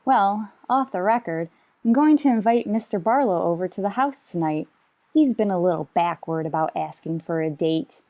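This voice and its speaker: Actress as woman English teacher, lively intonation, wry, sarcastic, dry wit, old-time radio comedy. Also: lowish voice, normal speed. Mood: brisk, wry. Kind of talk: old-time radio sitcom acting and narration.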